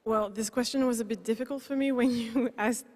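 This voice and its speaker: A woman's voice: a little high, fairly even intonation, a little fast, a little mellow. A woman from Belgium who works in museum public services, speaking earnestly as a panelist. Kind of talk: panel discussion. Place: large museum event hall. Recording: reverberant public hall.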